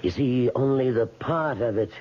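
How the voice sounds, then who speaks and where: An actor playing a frail, wealthy old man in a radio drama, formal and measured, with quiet menace. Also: medium pitch, breathy edge, close to an everyday voice. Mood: courteous, dry. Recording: dull, muffled vintage radio recording.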